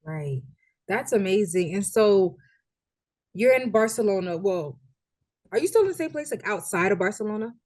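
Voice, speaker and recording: A woman's voice: mid-range voice, lively intonation, moderate pace, pauses often, darkish tone. A woman hosting a casual interview, friendly and asking open questions, who listens with frequent "mm" and "right". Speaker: interview host.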